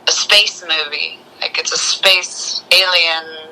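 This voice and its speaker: A woman co-hosting a casual movie discussion, talking in an excitable way and acting out little quotes. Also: sits low, slow pace, slight background noise, poor audio. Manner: excitable, acts out little quotes as she talks. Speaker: co-host of a movie review show.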